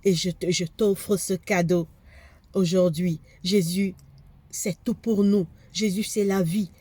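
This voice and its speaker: Woman preacher with a cold, warm mellow tone, fervent and repetitive delivery. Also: on the low side, steady tone, slow, husky, no echo. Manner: fervent preaching, repeats phrases for emphasis. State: has a cold, congested. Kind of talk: sermon.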